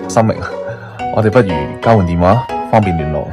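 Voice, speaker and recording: A man's voice: fairly low pitch, fairly quick, over music, lightly acted. A man with a Hong Kong Cantonese accent playing a casual, teasing young photographer in a radio drama. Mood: teasing, friendly.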